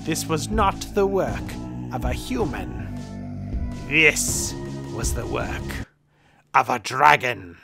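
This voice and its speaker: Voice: medium pitch, very animated, slow pace, vocal fry, pauses often, over music, exaggerated voice. A performer voicing a dour, domineering man of about seventy, a grave town official proclaiming in a fantasy audio drama. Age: around seventy.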